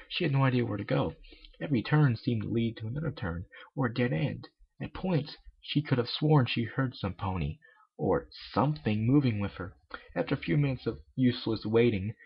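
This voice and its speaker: A man's voice: fairly low pitch, vocal fry, breathy edge. A man reading a story aloud as an amateur, with sarcastic, joking commentary. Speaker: amateur fanfiction reader. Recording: dull lo-fi home recording.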